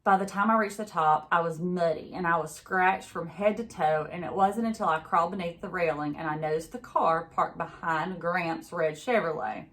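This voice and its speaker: Lower-voiced woman reading a book aloud. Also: a bit bright. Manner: pauses to chat with young listeners. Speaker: teacher reading aloud to a class. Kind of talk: expressive children's book read-aloud.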